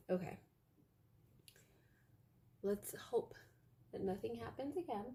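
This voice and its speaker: A young woman with a naturally loud voice talks casually to her viewers from a home office. She meanders between thoughts with plenty of fillers. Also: medium pitch, very slow, breathy edge. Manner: chatty, meandering, lots of fillers. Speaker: craft vlogger. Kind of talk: rambling casual chat to viewers.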